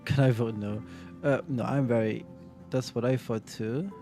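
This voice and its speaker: A young man streaming a game as a vtuber, chatting casually with rambling restarts and quick reactions. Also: mid-range voice, steady tone, mellow, soft background music. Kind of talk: casual gaming chat and reading dialogue aloud.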